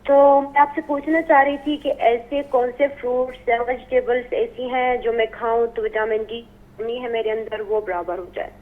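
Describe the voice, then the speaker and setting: A woman's voice: a little high, steady tone, moderate pace. A woman calling in to a live show, speaking hesitantly and politely. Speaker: caller. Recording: tinny phone line.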